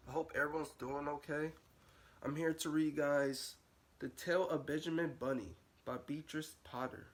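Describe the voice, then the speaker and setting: A man's voice: fairly high pitch, fairly even intonation, normal speed. A male nursery teacher reads a picture book to little kids. His delivery is sing-song, lifting and dropping through the sentences as he tells the tale. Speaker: nursery school teacher reading aloud. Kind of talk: storybook read-aloud for young children.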